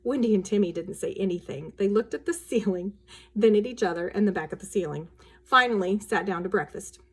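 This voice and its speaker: A woman reading a children's storybook aloud as a storyteller, expressive and acting out the characters' lines. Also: medium pitch, brisk, husky. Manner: acts out dialogue with changes of voice.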